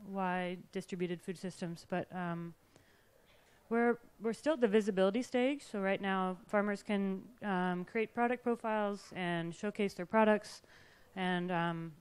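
A woman speaks on a panel in a smooth, clear voice. She is fluent and measured, with a few fillers. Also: medium pitch. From California. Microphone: handheld mic.

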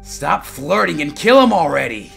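A man playing a cocky, impatient young anime villain, taunting with a gravelly voice in a fan audio drama. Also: a little high, sing-song, normal speed, bright, forceful tone, full character voice. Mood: impatient, sneering. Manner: taunting, sneering. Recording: music clearly behind the voice.

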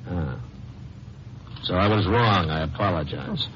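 Deep-voiced American tough guy. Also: lively intonation, a little slow, a bit bright. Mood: menacing. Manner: slangy, hard-boiled, threatening. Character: menacing tough gunman with wry underworld slang. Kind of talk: 1950s radio crime drama acting. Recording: muffled, noisy 1950s radio recording.

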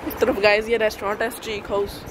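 A young man talks his viewers through a walking tour in a flat, even tone, calling out what he sees as he goes. He films on a handheld phone, first outdoors over steady traffic noise, then inside a mall. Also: high-pitched, fast. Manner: chatty, repeatedly addressing viewers as "guys". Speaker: vlogger. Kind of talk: casual walking tour narration. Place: outdoor parking lot then indoor shopping mall. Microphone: phone camera held while walking. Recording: noisy outdoor phone recording. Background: traffic and street noise outdoors.

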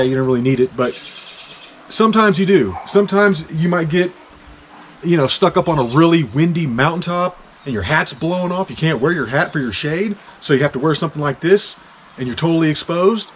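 A man's voice: medium pitch, slight background noise. A man in his forties from Kansas, reviewing hiking gear in a chatty, joking way on an outdoor deck. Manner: chatty, jokey, bursts of excitement. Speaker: hiking vlogger. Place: outdoor back deck in winter.

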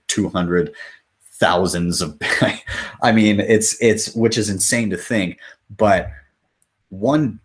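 A man in his thirties hosting a casual live question-and-answer stream from a small room at home. He talks loosely and swears casually, reading out viewer comments and answering them off the cuff. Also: sits low. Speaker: crypto channel host.

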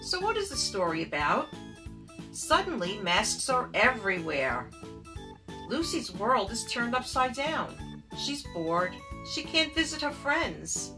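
Middle-aged female children's book host. Deliberate, unhurried delivery over background music. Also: fairly high pitch, big pitch swings. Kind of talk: read-aloud storybook narration. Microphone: phone camera nearby.

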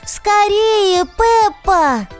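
A woman voicing an excitable, playful little piglet brother in a children's toy cartoon, babyish and full of exclamations. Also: very high-pitched, drawn-out words, faint music behind, heavily acted. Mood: excited.

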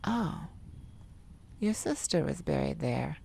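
A feisty, sardonic vampire man, acted by a woman, speaks in a lowish register at a slow, deliberate pace. The delivery is theatrical and sassy with a softer side, made for an ASMR audio roleplay. Light rain falls behind the voice. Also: vocal fry, slight background noise, exaggerated voice. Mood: gentle, sympathetic. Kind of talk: ASMR roleplay monologue to the listener. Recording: steady rain ambience behind the voice. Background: rain falling.

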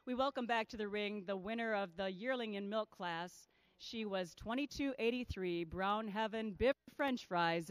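A woman working as ring announcer, reading out entries, exhibitors and sponsors in a measured, formal way at a large indoor show arena. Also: medium pitch, smooth, no echo. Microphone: handheld PA microphone.

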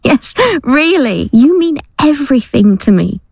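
A woman's voice: sits high, animated, a little slow, vocal fry, a little mellow, no room sound, studio quality, full character voice. A woman playing an anxious, placating abused girlfriend in an audio drama, affectionate but fearful, with a hesitant, soothing and pleading manner.

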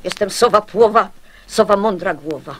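An actress playing a proud, wise owl with theatrical, drawn-out hooting in a children's radio play. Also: female voice, mid-range voice, lightly acted. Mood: haughty, dismissive. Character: female owl, hooting and proud of her wisdom.

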